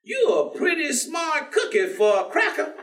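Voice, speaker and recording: Devout, stubborn, teasing folksy man, played by a male stage actor; high voice with big swings, heard across a roomy theatre. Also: wildly swooping pitch, fairly bright tone, heavily acted. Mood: jovial, teasing. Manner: folksy, colloquial, drawn-out delivery. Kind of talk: staged reading of a play. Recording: roomy theatre, distant microphone.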